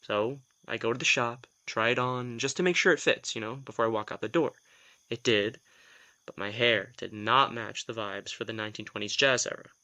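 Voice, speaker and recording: A man's voice: medium pitch, moderate pace, sharp tone. A man acting a reluctant vampire in an audio drama, a sardonic, put-upon office worker who tells things in a wry, hesitant, self-deprecating way. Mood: animated storytelling.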